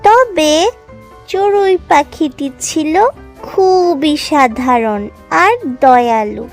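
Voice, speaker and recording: A woman's voice: high voice, expressive, extremely slow. A woman narrating a scripted moral story for children as a storyteller. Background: music.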